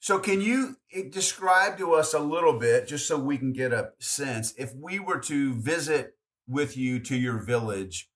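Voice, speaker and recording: A man hosting a podcast interview, asking guiding questions in a friendly, relaxed way. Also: medium pitch, unhurried. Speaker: podcast host. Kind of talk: conversational interviewing.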